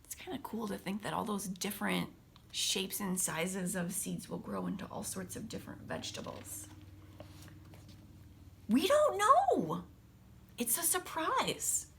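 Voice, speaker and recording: A woman teacher reading a picture book aloud to children, expressively, with casual, hushed explanatory asides. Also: medium pitch, a little slow, creaky, a little hiss or hum. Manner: animated storybook delivery, dropping to hushed asides.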